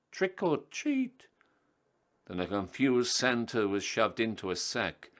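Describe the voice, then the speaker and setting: A man narrating storybook verse theatrically, with measured rhymes and strong emphasis. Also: low-pitched, a bit bright. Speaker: storyteller, town crier.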